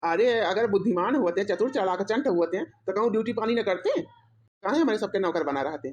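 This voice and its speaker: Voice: medium pitch, very animated, normal speed, a little mellow, muffled recording, natural voice. A performer voicing a smug, mocking young husband in an Awadhi cartoon comedy, teasing and boastful with an Awadhi accent. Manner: teasing, boastful, rambling mockery.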